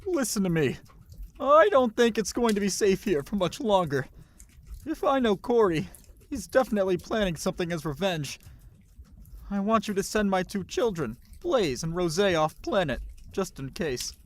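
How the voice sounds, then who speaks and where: A woman's voice: medium pitch, sing-song, moderate pace, close to an everyday voice. A woman playing a grave, protective army general in a fantasy animation, speaking in a firm, serious manner. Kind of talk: animated fantasy drama acting.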